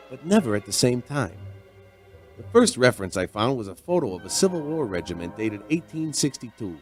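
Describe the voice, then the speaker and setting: Guy playing chatty old-time bartender telling a creepy story, radio drama, super lively delivery, bit of music behind. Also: medium pitch, lightly acted. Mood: uneasy, curious. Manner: folksy, rambling, conversational. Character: chatty veteran barman spinning an uneasy mystery tale. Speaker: narrator and lead character.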